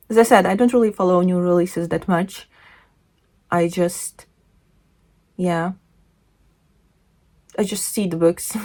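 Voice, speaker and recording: Woman, medium pitch, casual book reviewer, conversational, halting phrasing, self-correcting. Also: a little mellow. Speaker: book reviewer and video host. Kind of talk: casual chat to camera, book talk.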